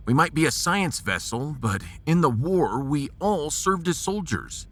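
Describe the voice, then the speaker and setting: A man playing a gruff, folksy American starship captain in a sci-fi audio drama, resolute and commanding with homespun slang. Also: medium pitch, fairly bright tone.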